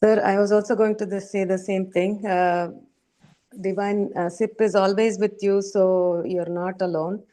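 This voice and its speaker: Female participant, low mellow flat voice, thoughtful and hesitant; lo-fi call audio. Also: a little slow. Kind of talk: answering on a video call. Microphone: video call. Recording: dull lo-fi video call audio.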